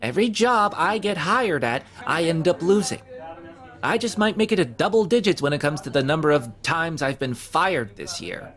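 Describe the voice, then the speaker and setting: An anxious young man who is down on his luck, stammering through his lines in an audio drama. His pitch swings widely from line to line. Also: animated, moderate pace, a bit bright. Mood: dejected. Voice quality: stammering, hesitant. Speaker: voice actor.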